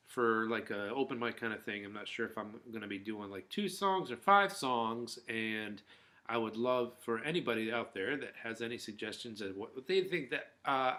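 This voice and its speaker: Bright-voiced rambling middle-aged man. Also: medium pitch. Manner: rambling, loose, with filler words and restarts. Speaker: vlogger. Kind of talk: casual rambling monologue to viewers.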